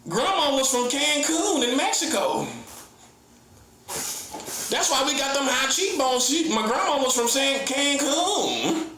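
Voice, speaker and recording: A man's voice: very high-pitched, expressive, measured pace, reverberant, noisy background. A man giving a casual comedic monologue as an online preacher, teasing his listeners, laughing often and acting out mock conversations. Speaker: self-styled prophet and online preacher.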